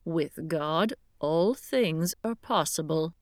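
A woman voicing a calm, comforting mother who speaks softly and steadily. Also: low-pitched, slow, no room sound, studio quality. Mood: soothing. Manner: soft, soothing, steady. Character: calm, comforting mother, middle-aged or older.

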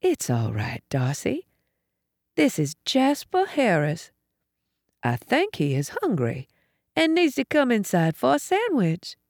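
A woman voicing a calm, kindly older mother with a quiet, gentle, soothing manner in an audiobook story. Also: on the low side, measured pace, gravelly, dry recording, studio quality, exaggerated voice.

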